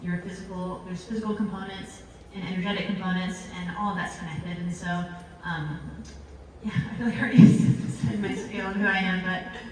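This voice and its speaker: A woman panelist speaks in a relaxed, chatty way and laughs at herself. She is recorded from a distance in a large hall with heavy, lingering reverb and clear background noise. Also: medium pitch, a little fast. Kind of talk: casual panel self-introduction. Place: large event hall with audience. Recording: echoey hall recorded from far off.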